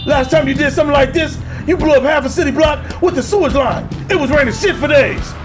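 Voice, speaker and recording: Irate police lieutenant: extremely high-pitched yelling, blustering, exasperated, music underneath. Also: male voice, fairly quick. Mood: furious. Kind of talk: action parody radio play acting.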